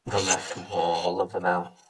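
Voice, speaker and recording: A man teaching yoga, leading a guided meditation with gentle, unhurried instructions and pauses. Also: low-pitched, flat delivery, breathy edge, far from the mic.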